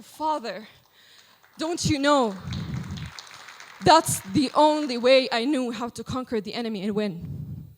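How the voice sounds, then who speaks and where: A woman slam poet reciting in competition with a dramatic, emphatic delivery and deliberate pauses. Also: sits high. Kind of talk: slam poetry performance. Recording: reverberant hall.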